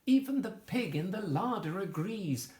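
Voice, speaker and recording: A woman's voice: medium pitch, expressive, a little slow, dark tone, close to an everyday voice. A woman voicing a plaintive little old lady exclaiming in rhyme from a children's storybook. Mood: exasperated.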